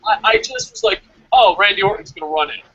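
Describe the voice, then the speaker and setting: Excitable guy, high bright voice, rambling fan talk on a review show. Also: quick pace, no room sound, lo-fi sound. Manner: animated, rambling, repeats himself. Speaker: co-host of a wrestling review show. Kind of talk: excitable casual fan commentary.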